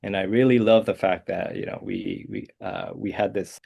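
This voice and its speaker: Gravelly low-voiced man. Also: wildly swooping pitch, moderate pace. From South Korea. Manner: soft-spoken, hesitant, many uh and um fillers. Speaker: guest composer. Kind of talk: interview answers.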